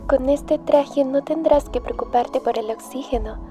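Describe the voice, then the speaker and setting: A woman narrating a scripted ASMR story in a soft, hushed, breathy whisper. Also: sits high, a little slow, soft background music.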